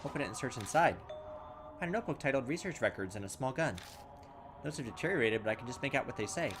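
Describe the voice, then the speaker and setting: A man's voice: medium pitch, lively intonation, quick pace, over music. A man playing a horror game and reading its text aloud, thinking aloud and making fun of his own mistakes. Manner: self-mocking, thinks aloud, loses his way. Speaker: let's play gamer.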